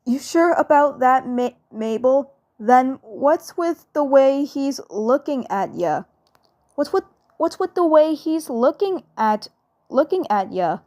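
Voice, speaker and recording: A woman's voice: high-pitched, lively intonation, takes it slowly, darkish tone, voice pushed up. A woman voicing a teasing, skeptical teenage cartoon boy with a sarcastic drawl.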